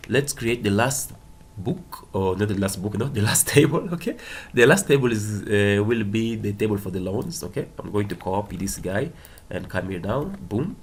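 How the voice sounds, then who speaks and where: A man's voice: on the low side, lively intonation, moderate pace. A man with a Portuguese accent, a native Portuguese speaker, giving a casual step-by-step coding tutorial, often repeating words and checking in with short tags. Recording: clear background noise, keyboard typing and clicks. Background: computer keyboard typing and clicks.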